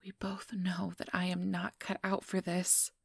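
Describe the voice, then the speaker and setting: A woman playing a reluctant, defiant young vampire in a whispered ASMR roleplay, with a breathy, blunt, weary delivery. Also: on the low side, completely flat delivery, a little slow, no echo, studio quality, full character voice. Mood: exasperated, pleading. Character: defiant, foul-mouthed young female vampire sire, reluctant.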